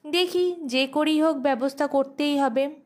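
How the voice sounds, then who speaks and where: A woman's voice: high-pitched, a little slow. A woman voicing a witty, put-upon but dutiful male court jester in an audio folk tale. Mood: worried, resigned.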